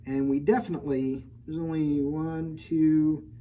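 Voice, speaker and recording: A woman reads a children's storybook aloud in a mellow, warm tone, pausing again and again to joke with the kids and point things out in the pictures. Her storytime delivery is playful and teasing, with faint noise in the background. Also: deep voice, level tone, highs cut off. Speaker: children's librarian. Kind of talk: storytime read-aloud with chatty asides.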